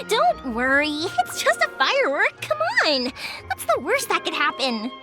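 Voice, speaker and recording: A bubbly young fireworks girl in a video game. The voice is very high and swoops freely, breezy and exclamatory, over clear background music. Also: huge pitch swings, takes it slowly, exaggerated voice. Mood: carefree. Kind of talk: video game cutscene voice acting.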